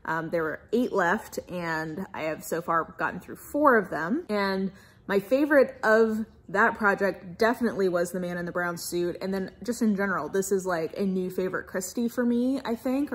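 An American woman in her thirties giving a casual, chatty book review vlog with expressive, emphatic asides. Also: mid-range voice, normal speed, husky. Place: furnished room at home, indoors.

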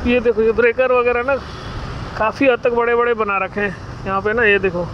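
A high-voiced man in his thirties talks casually to his viewers while riding a scooter through the city. The sound comes from a camera on the bike, with loud street and engine noise all around. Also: fairly even intonation, a little fast, lo-fi sound. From India. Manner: chatty, addresses viewers as guys. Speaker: vlogger. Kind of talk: casual moto vlog commentary. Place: riding a scooter on city roads, then indoors at an office. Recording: traffic noise of a scooter ride.

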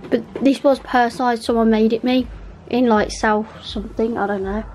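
Young woman vlogging from bed, giggly and rambling, on a handheld camera, slightly high voice, faint hiss behind. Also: fairly even intonation, normal speed, darkish tone. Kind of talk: casual chat. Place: bedroom at night.

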